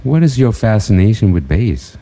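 A man's voice: on the low side, very animated, moderate pace, warm tone. A laid-back man co-hosting a casual interview, reacting with short remarks. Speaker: podcast co-host.

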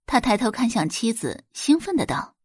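A woman narrates a dramatic audio novel with lively, sweeping intonation. Her voice sits at a medium pitch with a slightly husky grain, recorded dry and close. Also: moderate pace, no room sound.

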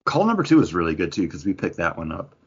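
A man's voice: deep voice, huge pitch swings, fast talker, breathy edge, highs cut off. A man co-hosting a podcast, chatting casually as he steers the discussion and asks questions. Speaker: podcast co-host leading discussion. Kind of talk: casual chat about comics.

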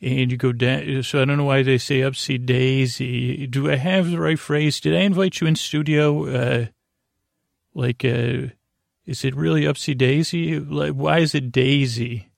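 A man hosting a bedtime podcast with a whimsical, meandering, halting monologue that often trails off, in a gravelly voice. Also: mid-range voice, fairly even intonation, no room sound, clean studio sound. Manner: whimsical, talks to an imaginary guest. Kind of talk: rambling improvised bedtime monologue.

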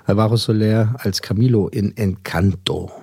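A man presenting a film segment on the radio, chatty and brisk, with frequent fillers. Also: fairly low pitch, steady tone, dark tone, slightly rough, no room sound, studio quality. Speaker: radio film critic, host.

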